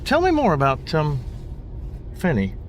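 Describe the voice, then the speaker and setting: Casting: polite, flustered English traveller, stammering apologies, very animated pitch, car noise behind. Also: male voice, measured pace. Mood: puzzled, hesitant. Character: polite, bewildered Englishman, a hitchhiker home from space. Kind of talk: radio comedy drama acting. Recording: clear vehicle noise behind. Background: car interior noise.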